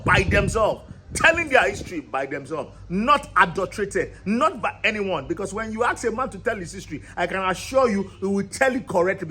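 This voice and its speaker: Man, fairly high voice, impassioned talk to camera, emphatic, stacks up repeated phrases. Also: fairly bright tone. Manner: emphatic and rousing, builds repetitive lists. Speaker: founder of a cultural channel.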